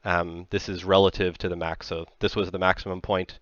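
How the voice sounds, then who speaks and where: Man in his thirties, lowish voice, technical presenter, conversational explaining, slightly dull close-miked sound. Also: little pitch movement, a little fast, a bit bright, no echo.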